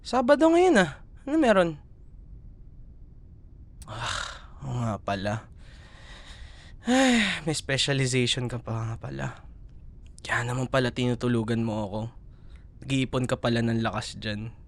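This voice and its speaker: Casting: male actor for a young Filipino boyfriend in an audio roleplay; playful, nagging yet affectionate student, very animated intonation, medium pitch. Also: measured pace, pauses often. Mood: playful teasing. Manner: teasing, coaxing, expressive. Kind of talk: ASMR boyfriend roleplay, casual Tagalog banter.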